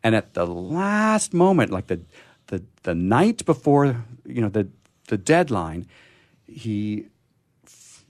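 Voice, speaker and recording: A man hosting a poetry program, speaking in a halting, expressive way as he talks casually and reads verse. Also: medium pitch. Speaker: radio show host reading poetry. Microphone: studio microphone he drifts away from.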